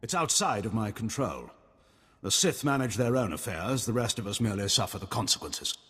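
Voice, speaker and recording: A man's voice: fairly low pitch, lively intonation, a little fast, a bit bright, slightly rough, in a large hall. A man playing a cold, haughty middle-aged imperial admiral in a video game, formal and condescending. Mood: grave.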